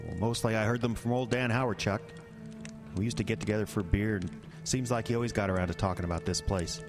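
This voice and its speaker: A man's voice: on the low side, steady tone, quick pace, over music, natural voice. An actor playing a defensive, evasive middle-aged man in an audio drama, stammering and dismissive. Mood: uneasy storytelling.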